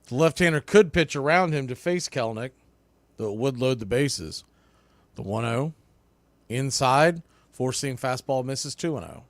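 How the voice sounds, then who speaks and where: A man calling baseball play-by-play live, in clipped pitch-by-pitch phrases. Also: animated, moderate pace, no room sound. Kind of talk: live sports commentary.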